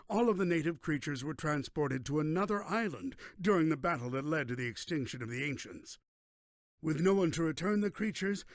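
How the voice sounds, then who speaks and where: A man's voice: fairly high pitch, no room sound, studio quality, lightly acted. A man playing a pompous, theatrical middle-aged mad-scientist villain in a video game, talking with condescension. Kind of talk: video game cutscene acting.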